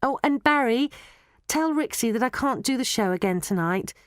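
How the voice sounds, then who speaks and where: A woman puts on a young storybook girl, pitched high and light. She is clever and bossy, giving orders politely but firmly, in a dry recording. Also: animated, normal speed, no echo, natural voice. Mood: polite, commanding.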